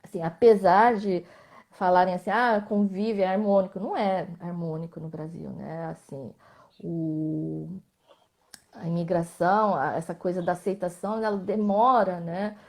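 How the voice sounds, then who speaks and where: Mellow-voiced Brazilian woman, soft trailing tone. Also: lowish voice, steady tone, moderate pace. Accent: Brazilian Portuguese. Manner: reflective, frequent tag questions, trailing off softly. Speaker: visual artist guest. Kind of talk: live online interview.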